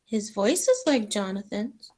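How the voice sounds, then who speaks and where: A woman's voice: medium pitch, big pitch swings, unhurried, warm tone. A teenage girl playing a sweet, proper elderly aunt with a matter-of-fact manner in a school radio play. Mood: wary. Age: teens.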